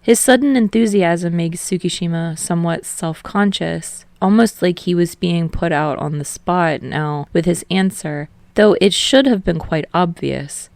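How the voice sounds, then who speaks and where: A woman's voice: lowish voice, measured pace, gravelly, hardly any pauses, a little mellow, no echo. A woman reading a story aloud as an audiobook narrator, in scripted third-person prose.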